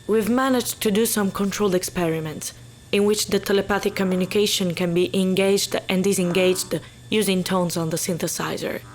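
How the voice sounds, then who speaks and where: An actress playing a composed, inquisitive research scientist in an audio drama, precise and clinical. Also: female voice, medium pitch, steady tone, normal speed, slight background noise, lightly acted, rough recording. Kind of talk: naturalistic audio drama acting.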